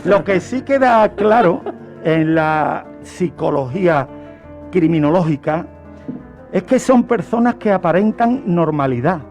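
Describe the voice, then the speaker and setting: A Spanish man with a distinctly high-pitched voice presents a crime case on the radio. He explains things like a teacher, part scripted and part off the cuff, with questions for the listeners. Music plays faintly underneath. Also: lively intonation, a little slow, darkish tone. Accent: Spanish, from Spain. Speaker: true-crime segment presenter on a radio show.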